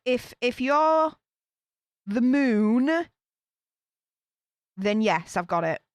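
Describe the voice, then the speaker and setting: A young Yorkshire woman chats on a live stream, her pitch bouncing around animatedly. She reads comments aloud, laughs often, and the sound is dry and close. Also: sing-song, very slow, no echo. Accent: Yorkshire English. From Yorkshire, England. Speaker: YouTube streamer reading live chat. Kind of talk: casual live-stream chat.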